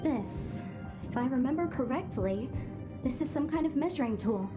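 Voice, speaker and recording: A woman's voice: high-pitched, expressive, normal speed. An actress playing an earnest, scholarly young woman who speaks plainly and reproachfully. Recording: game cutscene over music. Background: music.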